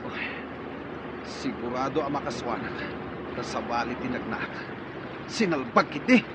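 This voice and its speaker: A man's voice: mid-range voice, lively intonation, unhurried, lightly acted. A man playing a tense, impatient boatman in a radio drama, giving curt orders. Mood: grim. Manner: curt, commanding. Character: tense, impatient man running a stricken ferry. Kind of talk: Ilocano radio drama acting. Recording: muffled, noisy scene with outdoor clamour.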